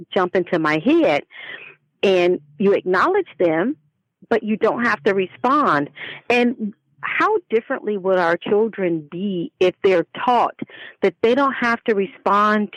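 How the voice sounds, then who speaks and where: A middle-aged woman speaks as a guest author in a conversational interview, phrasing earnestly and deliberately with emphatic pauses. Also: medium pitch, expressive, no echo, dull audio. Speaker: children's book author, guest.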